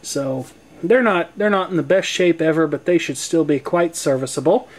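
A man's voice: fairly high pitch, normal speed. An American man giving a casual hands-on demonstration in a folksy, wry, explanatory way. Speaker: presenter demonstrating tape deck maintenance. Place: indoor room at home. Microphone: handheld camcorder microphone.

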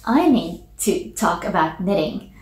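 A Finland-Swedish woman in her forties, a native Swedish speaker, chats to the camera in a relaxed and unhurried way, pausing often to gather her thoughts. She speaks from her small home office, and her voice carries a noticeably roomy echo. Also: fairly low pitch. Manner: relaxed, thoughtful, with many ums and pauses. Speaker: knitting podcast host. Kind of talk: casual chat to camera. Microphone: camera at desk distance. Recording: echoey small room.

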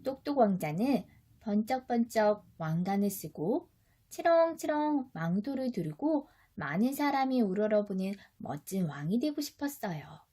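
A woman's voice: mid-range voice, big pitch swings, unhurried, warm tone. A woman reading a children's story expressively as a mother would, speaking directly and instructively to a small child.

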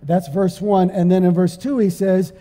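High-voiced man preaching in a church hall. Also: normal speed. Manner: animated, conversational, rises to loud emphatic preaching. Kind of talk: storytelling sermon. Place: church sanctuary, on stage. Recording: long church hall reverb.

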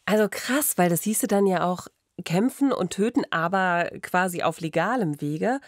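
A woman's voice: fairly low pitch, expressive, moderate pace, dry recording. A woman in her thirties hosting a true-crime podcast conversationally at a studio microphone. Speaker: podcast host. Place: small radio studio. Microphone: studio microphone with pop filter, headphones.

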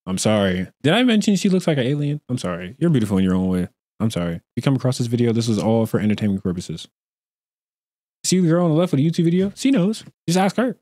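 A man's voice: mid-range voice, very animated, fast, a little mellow, dry recording. A young man riffing over a video he is watching, cracking jokes and teasing, then taking it back with mock apologies. His voice sits in a middle range and is recorded close and dry on a desk mic with no room sound. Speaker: streamer reacting to a video. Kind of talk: casual reaction commentary. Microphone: desk mic on a boom arm with headset.

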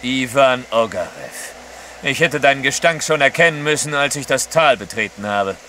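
An actor playing a bold Russian courier in disguise, decisive and commanding, in an audio drama. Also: male voice, mid-range voice, normal speed, bright, forceful tone, a little hiss or hum, lightly acted. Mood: controlled. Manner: decisive, commanding, sure of himself. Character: bold, resolute Russian courier posing as merchant guide. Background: dramatic music.

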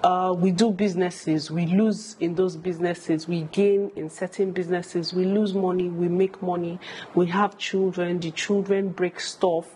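A woman's voice: on the low side, fairly even intonation, measured pace, mellow, a little hiss or hum, rough recording. A woman in her thirties with a Nigerian accent, a vlogger giving candid, emphatic advice and repeating phrases for stress. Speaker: vlogger answering viewer questions. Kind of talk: candid advice talk to camera. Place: furnished home room, indoors.

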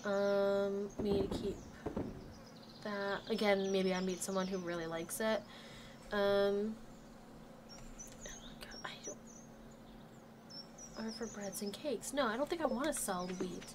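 A woman's voice: medium pitch, unhurried, long pauses, noisy background. A woman with a slightly nasal voice, still recovering from a cold, chatting casually as she plays and talks to the game characters. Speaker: gaming channel host. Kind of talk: casual let's play commentary. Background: game music.

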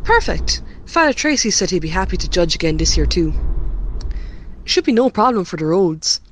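A woman's voice: on the low side, sing-song, moderate pace, slight background noise. A woman with an Irish accent playing a helpful, chatty parish committee member in an amateur radio drama.

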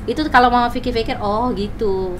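A woman, chatting casually as a podcast guest and telling things in an animated, expressive way. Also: a little high, fast talker, background noise. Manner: animated storytelling with sound words and acted quotes. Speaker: content creator, interview guest. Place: small podcast studio, indoors. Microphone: boom-arm studio microphone, headphones on.

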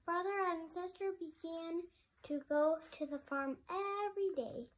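A woman reads a children's picture book aloud in an expressive, deliberate way meant for young listeners. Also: very high voice, slight background noise, low-quality recording. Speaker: storybook reader.

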